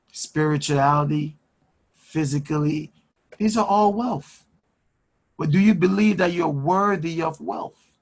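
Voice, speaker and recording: Gravelly man hosting a video call, slow emphatic motivational delivery. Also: a little high. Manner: emphatic, repeats phrases for effect. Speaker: host and finance mentor. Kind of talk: motivational speaking on a live call.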